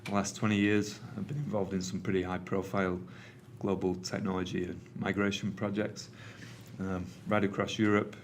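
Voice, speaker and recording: Middle-aged Mancunian man, lowish voice, relaxed presenter with lots of ums, faint room ambience. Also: moderate pace, a little hiss or hum. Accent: Manchester. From England. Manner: conversational, with frequent ums and uhs. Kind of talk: conference presentation. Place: meeting room with an audience.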